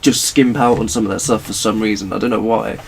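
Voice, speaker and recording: A man's voice: lowish voice, fairly even intonation, quick pace, gravelly, a little hiss or hum. A man co-hosting a podcast in a casual, joking chat. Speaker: podcast co-host.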